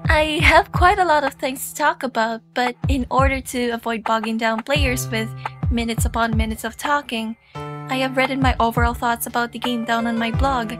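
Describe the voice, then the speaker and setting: A woman's voice: fairly high pitch, steady tone, moderate pace, music in the background. A woman voice actress reading a friendly thank-you message to players, with a light giggle in her voice. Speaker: voice actress giving a closing message.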